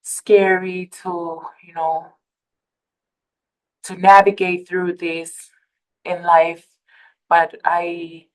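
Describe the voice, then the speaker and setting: A woman from Tonga speaks with a Tongan accent in a slow, unhurried webinar talk. Her voice sits fairly low and stays flat in pitch. She often pauses, restarts, and fills the gaps with fillers. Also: rough recording. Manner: hesitant, many fillers and restarts. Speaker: presenter for a behavioral health center. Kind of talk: webinar presentation.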